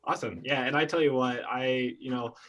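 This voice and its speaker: A man's voice: medium pitch, steady tone, brisk, fairly bright tone. A man hosting a casual interview, chatty and enthusiastic, often restarting his sentences. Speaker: interviewer host. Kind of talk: casual video-call interview.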